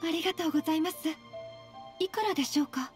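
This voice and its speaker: Polite anime noble girl, high soft-mannered voice, very formal, bit of music under it. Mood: composed, courteous. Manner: formal, courteous, measured. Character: polite young noblewoman, gentle, dignified and principled. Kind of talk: anime game voice acting in Japanese.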